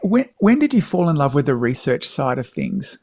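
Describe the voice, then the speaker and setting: A man's voice: a little high, big pitch swings, moderate pace, darkish tone, dry recording. Chatty male podcast host, dry sound. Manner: friendly, chatty, laughs along. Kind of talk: casual interview chat.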